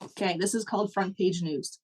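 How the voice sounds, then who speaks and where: A woman co-hosting a casual podcast, chatting in a relaxed, dry way and reading question cards aloud. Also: medium pitch, brisk, a little hiss or hum, poor audio. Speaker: podcast co-host.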